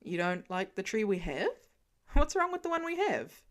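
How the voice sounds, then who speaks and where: A woman voicing a wry, self-assured grown man, lowering her voice to play him in a fan audiobook reading. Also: very animated, moderate pace, lightly acted.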